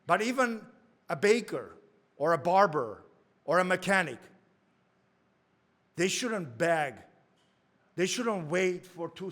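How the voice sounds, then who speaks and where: A man's voice: on the high side, fairly bright tone. A man giving an emphatic, rallying address at a community lodge meeting. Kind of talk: formal address.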